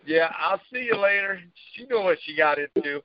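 A man's voice: high-pitched, expressive, moderate pace, a little hiss or hum. A man co-hosting a podcast in casual chat, blunt and profane. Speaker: podcast co-host. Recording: dull, dry lo-fi audio.

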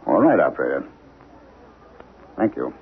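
A man's voice: very deep voice, sing-song, normal speed, creaky, breathy edge, heavily acted. An actor playing an agitated, insistent man convinced of alien invaders, in a 1970s radio drama. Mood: stubborn, preoccupied. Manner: insistent, intense, hesitant when distracted. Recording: noisy, phone-like 1970s radio broadcast.

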